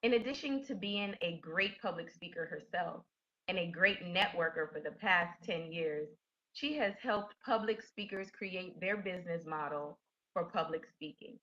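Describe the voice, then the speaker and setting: A woman reading a formal, scripted introduction as host of an online session, with measured phrasing. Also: on the low side, sharp tone. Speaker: webinar host introducing a coach. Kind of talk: scripted introduction, read announcement. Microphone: online webinar audio. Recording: dull lo-fi web conference audio.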